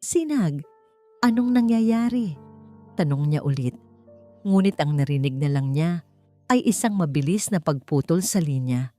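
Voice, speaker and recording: A woman's voice: low voice, normal speed, dark tone, dry recording, soft background music. A woman narrating a scripted dramatic story.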